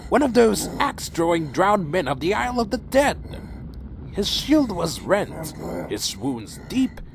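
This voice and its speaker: A man's voice: sits high, vocal fry, noisy background, heavily acted. A man playing a weary, proud winged vampire clan leader in a fan audio drama, speaking formally and broodingly. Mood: grim, dry.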